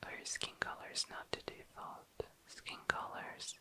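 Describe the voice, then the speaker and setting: A woman whispering breathily and casually in ASMR style, informal and self-deprecating, close to the microphone. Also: a little slow. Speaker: ASMR video creator. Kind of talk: whispered ASMR, casual rambling commentary while working.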